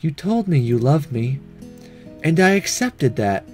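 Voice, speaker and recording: A man voicing a gentle, devoted ghost schoolgirl in a soft, wistful visual novel monologue. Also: fairly high pitch, very animated, measured pace, faint music behind. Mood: loving, apologetic. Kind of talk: visual novel inner monologue read aloud.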